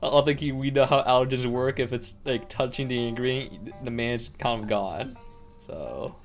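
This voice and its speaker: A man's voice: mid-range voice, normal speed. A man giving casual live gameplay commentary as a streamer, reacting wryly with exclamations. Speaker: game streamer. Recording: game music under the voice. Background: game music with plucked strings.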